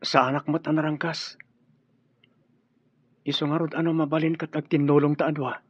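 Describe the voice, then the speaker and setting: An earnest young man courting and pleading in a radio drama. His voice is breathy and lightly high, recorded dry and muffled. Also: little pitch movement, normal speed, no echo. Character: earnest, deferential young male suitor pleading for peace.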